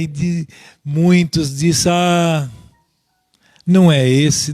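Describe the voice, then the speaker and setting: A man with a Brazilian accent teaching a Bible study in a deliberate, halting way, often checking in with listeners. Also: sits high, a little mellow, no echo, studio quality. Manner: deliberate, halting, with frequent rhetorical check-ins.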